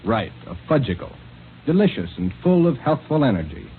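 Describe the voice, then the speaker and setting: Animated man announcer on tinny old radio. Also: mid-range voice, brisk. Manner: hearty, salesmanlike. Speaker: announcer and advertising pitchman. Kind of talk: 1930s radio advertising for children. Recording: scratchy, tinny 1930s radio recording.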